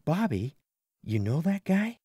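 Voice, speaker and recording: A man's voice: fairly high pitch, sing-song, takes it slowly, dark tone, slightly rough, no room sound, studio quality, pitched above the performer's own voice, full character voice. A man voicing an earnest, polite boy who captains a baseball team in an audiobook. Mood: eager. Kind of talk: audiobook character voice.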